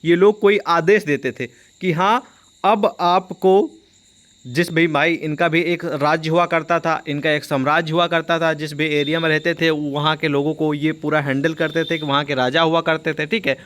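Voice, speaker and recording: A man's voice: fairly high pitch, fairly even intonation, normal speed, fairly bright tone. A man teaching an informal exam-prep lesson, explaining by repeating and rephrasing, with frequent check-in tags. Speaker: online history teacher.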